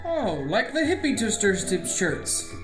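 A woman's voice: medium pitch, big pitch swings, measured pace, music in the background. A woman playing a naive, polite male hero in a comic audio drama, hesitant, bemused and genteel. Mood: bemused, wary.

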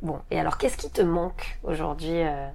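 Lady interviewer, low gravelly voice, easy friendly questions. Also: moderate pace, a crowd in the background. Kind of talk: casual conversational interview.

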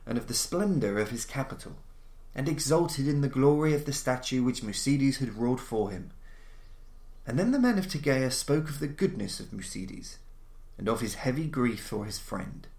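A man with a mid-pitched voice narrates classic fiction in a roomy, echoing space. His delivery is formal and measured, in the manner of an audiobook reader of old horror tales. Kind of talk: literary story reading, scripted narration.

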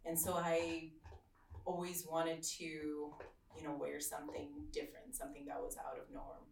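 A woman in her thirties from Slovakia, a swimwear designer, talking in a casual interview with many ums and soft laughs. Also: deep voice, completely flat delivery, unhurried, creaky, roomy sound, distant mic, a little hiss or hum, poor audio. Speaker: swimwear designer and business owner.

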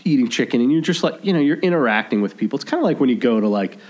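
A man's voice: mid-range voice, animated, racing, a little mellow. A man hosting a local radio talk show, chatting casually with many fillers and restarts. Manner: rambling, lots of fillers and restarts. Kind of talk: casual chat.

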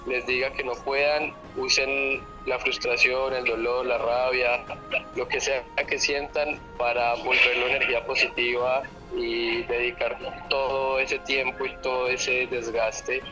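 A Colombian painter answering interview questions in an earnest, sincere way, his pace quickening when he gets excited. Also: mid-range voice, dull audio, over music.

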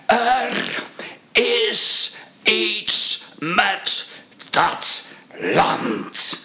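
A man's voice: high-pitched, sing-song, extremely slow, bright, forceful tone, full character voice. An actor playing a haunted, obsessed man in a radio play, delivering an ominous theatrical warning with whispers and outbursts. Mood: mocking, ominous. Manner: ominous, dramatic, slipping into breathy whispers and outbursts. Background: music soundscape.

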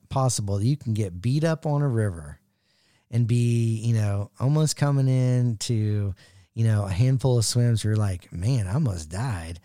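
Mellow, lower-voiced American man hosting. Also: dry recording, clean studio sound. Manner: laid-back, slangy, enthusiastic. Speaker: interviewer, host. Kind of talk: casual chat interview.

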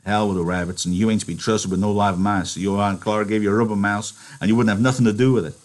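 A man voicing a short-tempered, worn-out ranch hand who scolds gruffly and flares into shouting. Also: on the low side, steady tone, fast talker, close to an everyday voice. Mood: scolding.

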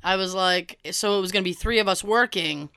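A young woman, hoarse from a cold, telling a story in casual chat and acting out quoted dialogue with a swear now and then. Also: medium pitch, a little fast, pushed and bright, dry recording, studio quality.

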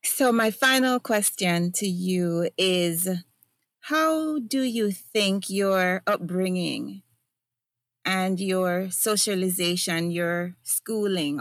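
Low-pitched woman asking direct questions. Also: no echo. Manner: inquisitive, direct. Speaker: moderator. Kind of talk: interview questions.

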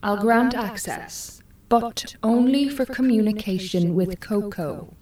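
A woman's voice: medium pitch, dark tone, reverberant, lightly acted. A woman as a distant, precise household AI. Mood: prim, logical. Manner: measured, formal, matter-of-fact. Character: precise, dutiful, dispassionate female home AI. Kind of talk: audio drama acting.

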